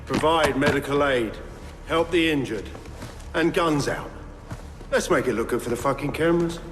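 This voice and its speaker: An actor playing a smug, ruthless private military commander in a game, sardonic and used to command. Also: male voice, a little high, normal speed, background noise, lightly acted. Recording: loud music behind voice.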